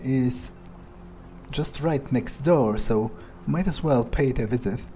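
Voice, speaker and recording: Slow, mellow, cynical vampire played by a man. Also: mid-range voice, faint music behind, lightly acted. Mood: musing. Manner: halting, musing, sardonic, swearing. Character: cynical, crude male vampire talking to himself. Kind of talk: improvised in-character video game playthrough.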